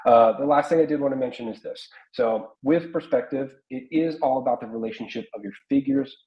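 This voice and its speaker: A man's voice: mid-range voice, a little fast. An American man in his thirties explaining things informally as an art instructor in an online workshop, talking through examples. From South Carolina, United States. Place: small home room, indoors. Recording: roomy, slightly dull webcam audio.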